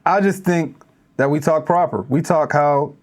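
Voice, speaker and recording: A man's voice: a little high, moderate pace. A man from Pittsburgh with a Pittsburgh accent, chatting as a guest rapper on a podcast and mimicking how other cities talk. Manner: animated storyteller who mimics other cities' speech. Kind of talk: casual podcast conversation.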